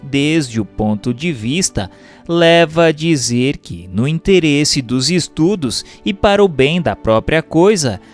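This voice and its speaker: A Brazilian man narrates in a formal audiobook style. He takes his time with each phrase, and his intonation rises and falls widely. Soft music plays behind his voice. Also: fairly high pitch. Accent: Brazilian Portuguese. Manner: formal, measured, expressive phrasing. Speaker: reader of a Spiritist text. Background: background music.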